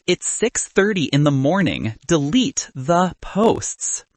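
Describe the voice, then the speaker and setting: A man voicing an exasperated female professional bridesmaid with a firm, businesslike, sharp-tongued manner in an audiobook. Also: fairly high pitch, big pitch swings, slow pace, no echo, voice pushed up. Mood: irritated. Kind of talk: audiobook character dialogue.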